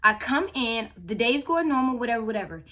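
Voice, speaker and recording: A woman of nineteen telling a story about a job to viewers in a chatty, animated way. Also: fairly high pitch, a little fast. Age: young adult. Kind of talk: casual storytime.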